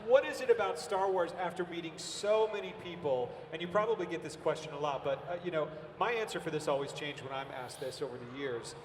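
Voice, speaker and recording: An American man hosting a convention panel, asking questions eagerly with energetic restarts. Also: fairly high pitch, fast talker, hardly any pauses, slight background noise. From United States. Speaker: panel host. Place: large convention hall stage. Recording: roomy echoing hall, distant from the mic, audible crowd ambience.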